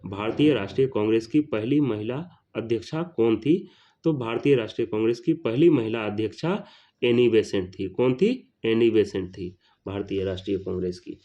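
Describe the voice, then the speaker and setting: A man's voice: on the low side, moderate pace, dark tone. Man teaching, dull lo-fi recording. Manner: didactic, repeats phrases for emphasis. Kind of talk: exam-prep lesson, reading questions and giving answers.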